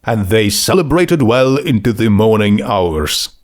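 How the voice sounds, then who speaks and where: A man narrating a scripted story in a measured, deliberate storytelling manner. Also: lowish voice, expressive. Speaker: fan fiction audiobook narrator.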